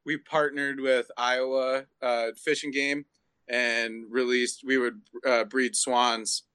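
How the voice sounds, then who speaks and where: A man in his mid-thirties, a zookeeper guest talking casually in an easygoing, halting way. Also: mid-range voice, bright, forceful tone, dry recording. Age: 30s. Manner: easygoing, hesitant restarts. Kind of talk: casual interview chat.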